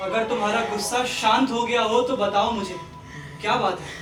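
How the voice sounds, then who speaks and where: A man's voice: high-pitched, loud music behind the voice, close to an everyday voice. Man acting a patient, kindly father, roomy stage. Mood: calm. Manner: measured, gentle, reassuring. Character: kindly, forgiving middle-aged father, patient and principled. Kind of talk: Hindi stage play acting. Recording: roomy distant stage recording, faint noise.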